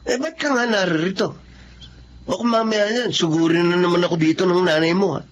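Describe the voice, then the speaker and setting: Man playing a high-voiced, exasperated young Filipino guy. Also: a little fast, slight background noise, natural voice. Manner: colloquial, nagging. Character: young ex-boyfriend, exasperated but caring. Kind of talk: Filipino radio drama acting.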